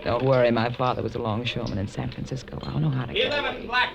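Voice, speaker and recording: A woman's voice: rumbling low, very animated, fast talker, creaky, a crowd in the background, heavily acted. An American actress playing a sultry, loyal female gambling-house shill in 1940s radio noir style. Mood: anxious, devoted. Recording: muffled old radio drama recording.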